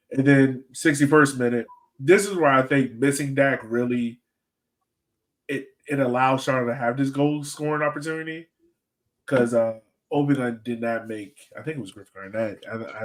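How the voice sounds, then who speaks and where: A man's voice: medium pitch, poor audio. Male sports podcast co-host, mid-range voice, informal and joking delivery. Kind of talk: casual sports chat, match breakdown.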